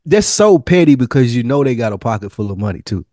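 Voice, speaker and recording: A man's voice: mid-range voice, very animated, fast, mellow, dry recording, clean studio sound. A young man co-hosting a podcast, talking in a laid-back, slangy way and giving blunt opinions. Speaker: podcast co-host. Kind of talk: casual chat.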